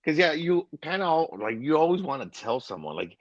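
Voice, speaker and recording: A man's voice: a little high, big pitch swings, brisk, dry recording, lo-fi sound. A man co-hosting a podcast in casual chat, easygoing and agreeable, with light teasing. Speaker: podcast co-host.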